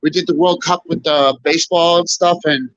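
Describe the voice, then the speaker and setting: A man chatting as a guest on a video call, halting and repeating himself with lots of fillers, a little stuffy. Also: a little high, fairly even intonation, a bit bright, no room sound. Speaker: guest on stream. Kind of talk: casual chat. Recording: muffled lo-fi call audio.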